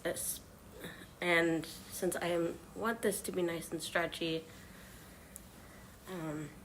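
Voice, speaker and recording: Hoarse woman, soft-spoken, slow unhurried talk, lowish voice, faint background hiss. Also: rough recording. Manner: halting, many ums and uhs. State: hoarse from illness. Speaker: knitting livestreamer. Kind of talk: soft-spoken live stream chat.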